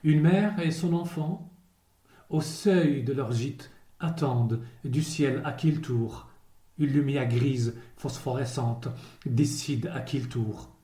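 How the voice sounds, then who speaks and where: A man gives a solemn poetry recitation, grave and measured, pausing between short phrases. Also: medium pitch, dark tone. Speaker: poet reading a poem. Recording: roomy, echoing voice recording.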